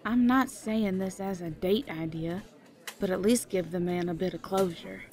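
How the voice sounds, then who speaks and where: A woman playing a bossy but caring young friend, brisk and wry, in an audio drama. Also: medium pitch, a little mellow, close to an everyday voice. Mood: coaxing.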